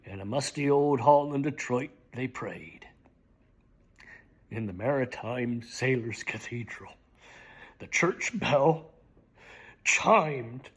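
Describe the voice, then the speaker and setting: A middle-aged man giving a slow, theatrical reading of lyrics, often dropping to a hushed whisper. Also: on the high side, slightly rough. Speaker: dramatic reader. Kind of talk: dramatic spoken reading of song lyrics.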